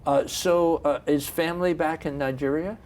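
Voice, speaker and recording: A man's voice: on the high side, steady tone, normal speed, a little mellow, slight background noise. A man hosting a studio interview, asking eager, encouraging follow-up questions. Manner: curious, encouraging, asks short follow-ups. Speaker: TV interview host.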